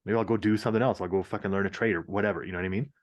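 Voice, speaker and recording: A man's voice: on the low side, fairly even intonation, very fast. A man co-hosting a podcast, chatting informally and reflectively, with some swearing and hedging. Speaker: podcast co-host. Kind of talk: casual, reflective chat.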